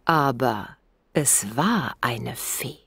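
A woman narrating a scripted children's bedtime story in an expressive read-aloud manner. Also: rumbling low, drawn-out words, gravelly.

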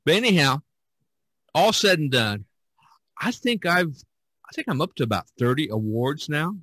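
A man's voice: on the high side, big pitch swings, moderate pace, a bit bright, breathy edge, no echo. A man hosting a casual podcast discussion as a painter, talking in a rambling, chatty way.

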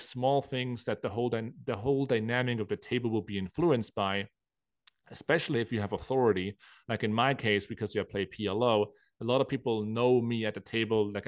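A man's voice: fairly low pitch, no room sound. A man, a guest on a podcast, giving direct, assertive advice in casual interview talk. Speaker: podcast guest, poker player.

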